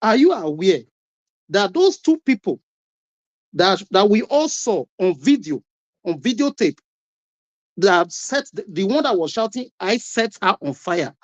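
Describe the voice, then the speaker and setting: A man's voice: high voice, big pitch swings, measured pace. A man with a Nigerian accent arguing on a call-in broadcast, firing rhetorical questions and repeating words as he presses each point. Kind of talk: heated debate contribution. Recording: lo-fi online call audio.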